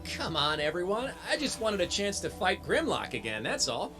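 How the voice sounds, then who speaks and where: A man's voice: high-pitched, wildly swooping pitch, normal speed, music in the background. A man playing a cocky, plucky young robot hero in a video game, with a breezy, wisecracking delivery. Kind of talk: video game cutscene acting.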